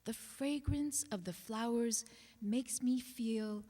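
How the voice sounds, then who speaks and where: A woman giving a dramatic reading of personal poetry, in a measured way with deliberate pauses. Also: on the high side, mellow. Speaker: actor reading others' writing aloud. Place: reverberant hall, live public reading. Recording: long hall reverb.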